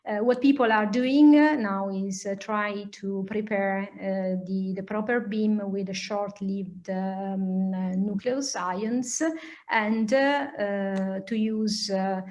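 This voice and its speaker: A woman with an Italian accent giving an academic lecture and answering questions, explaining hesitantly with many pauses. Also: mid-range voice, fairly even intonation, a little mellow. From Italy. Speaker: lecturer, nuclear physicist.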